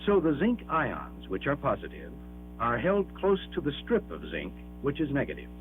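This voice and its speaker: A man reads a scripted educational film narration in a measured, emphatic, explanatory manner, on an old 1960s film soundtrack. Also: mid-range voice, huge pitch swings, faint music behind. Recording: dull band-limited 1960s 16mm film soundtrack.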